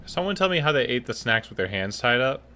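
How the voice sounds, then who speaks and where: A man's voice: medium pitch, sing-song, quick pace, bright, forceful tone, a little hiss or hum. A man hosting a gaming video, commenting casually and muttering reactions to himself as he plays. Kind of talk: casual let's play commentary, reading game text aloud.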